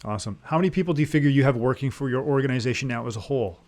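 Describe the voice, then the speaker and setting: A man's voice: medium pitch, very fast, a little mellow. Man hosting, with a mid-range voice. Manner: encouraging, frequent short affirmations. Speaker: podcast host. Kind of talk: casual interview conversation.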